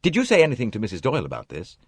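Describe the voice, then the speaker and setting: A reader playing a brusque young husband, raised and forceful, his voice pushed higher and louder than the narration. Dry, close audiobook sound. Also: wildly swooping pitch, racing, breathy edge, dry recording, heavily acted. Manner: harsh, brusque. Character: harsh, agitated young husband.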